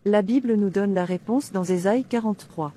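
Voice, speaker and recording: A woman's voice: medium pitch, moderate pace, dark tone, a little hiss or hum, close to an everyday voice. A woman playing a devout, defiant princess in a dubbed cartoon, declaiming earnestly like a preacher. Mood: earnest preaching. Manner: declamatory, earnest, citing scripture. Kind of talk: sermon-like dubbed cartoon dialogue.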